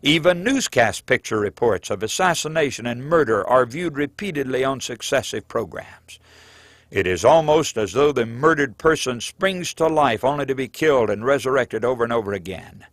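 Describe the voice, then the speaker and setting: A man narrates like a preacher reading his own book. His delivery is earnest and rhetorical, with lively rises and falls in a mid-pitched voice. The sound is dry and close, with very little room around it. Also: no room sound. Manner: earnest, rhetorical, deliberate phrasing. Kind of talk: sermon-like scripted audiobook narration.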